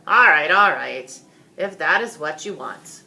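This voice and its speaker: A woman voicing an authoritative male king in a storybook, speaking in a pompous, formal and deliberate manner. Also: expressive, creaky, sharp tone, exaggerated voice, rough recording. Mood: grudging. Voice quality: deep, authoritative voice. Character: solemn, commanding old king with sons.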